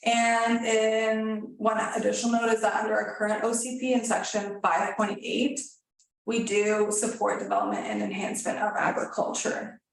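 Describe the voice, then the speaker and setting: A woman staff planner presenting a formal report to a council, then answering questions in a measured, slightly tentative way. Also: mid-range voice, flat delivery, gravelly, slight background noise. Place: council chamber. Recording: very echoey council chamber.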